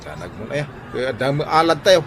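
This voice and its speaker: A man's voice: mid-range voice, sing-song, a little fast, slight background noise, lightly acted. Man acting blustering Filipino husband, wildly swooping voice. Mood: grumbling. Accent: Filipino, Ilocano. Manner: blustering, exclaiming. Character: excitable, defensive husband, self-proud fence builder. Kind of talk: comedic Ilocano radio drama acting.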